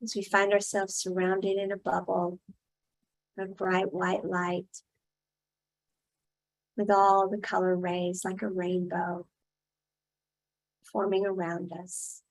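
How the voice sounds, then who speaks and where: A woman leading a guided meditation in a soothing style, speaking in short, unhurried phrases with long pauses. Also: on the low side, completely flat delivery, rough recording.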